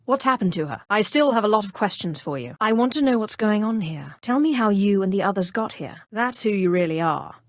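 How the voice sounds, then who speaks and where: An actress playing a cold, haughty, cunning female Sith sorceress in a video game, speaking in a composed, imperious and deliberate way. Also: medium pitch, expressive, no room sound, clean studio sound, close to an everyday voice. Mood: probing, stern. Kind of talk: scripted role-playing game dialogue.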